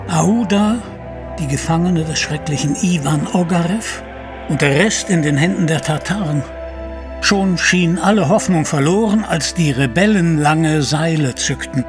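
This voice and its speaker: A man's voice: on the high side, lively intonation, measured pace, music in the background. A man narrating an adventure audio drama with dramatic storytelling delivery.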